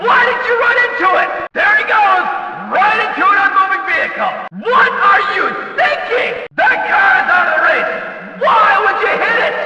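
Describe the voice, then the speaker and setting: An actor playing a rowdy, sarcastic race announcer in a video game, calling hits in excited, mocking, exclamatory sports commentary. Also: male voice, very high-pitched, moderate pace, very echoey, long reverb tail, far from the mic, muffled recording. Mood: excited, shouting.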